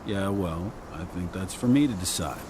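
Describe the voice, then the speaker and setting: A man's voice: low-pitched, moderate pace, background noise, natural voice. A man playing a loyal, wry friend in a video game, talking in a casual way. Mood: reassuring. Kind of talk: video game cutscene acting.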